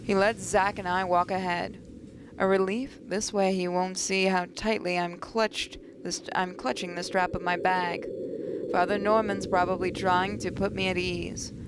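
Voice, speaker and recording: A woman's voice: lowish voice, little pitch movement, measured pace, background noise. A woman hosting a gaming video, reading a visual novel aloud with asides and occasional stumbles. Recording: voice over game music.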